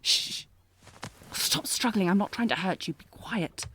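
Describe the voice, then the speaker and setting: A woman's voice: medium pitch, a little slow, noisy background, close to an everyday voice. A woman playing a sardonic, protective knight bodyguard in a fantasy audio roleplay, with a taunting, commanding, intense manner. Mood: insistent, tense. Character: sardonic, protective female knight bodyguard, old academy rival. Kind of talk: scripted fantasy audio roleplay, acted one-sided dialogue.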